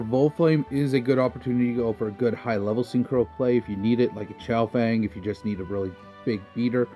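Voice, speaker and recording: Young guy a bit stuffy from a cold, mellow voice, faint music behind, explaining his card deck. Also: mid-range voice. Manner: casual, methodical, lots of fillers like kinda. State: slightly nasal from a cold. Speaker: deck profile presenter.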